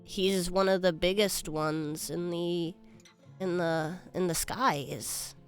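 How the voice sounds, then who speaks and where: A woman's voice: fairly low pitch, fairly even intonation, takes it slowly, soft background music. A woman playing a tabletop roleplaying game, reasoning aloud as she makes her case to the group.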